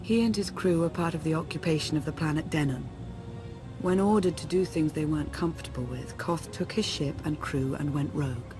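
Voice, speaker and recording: An actress playing an earnest, loyal Sith adviser in a video game, speaking in a measured, serious way. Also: female voice, low voice, music in the background, close to an everyday voice, poor audio. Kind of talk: video game cutscene acting.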